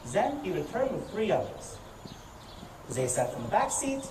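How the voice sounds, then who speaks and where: A woman's voice: on the low side, very animated, a little slow, background noise. A woman playing a male Russian immigrant millwright, telling his story in a scripted historical monologue. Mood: recounting. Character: Russian immigrant man, millwright and driver, recounting events. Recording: roomy, distant microphone. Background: music.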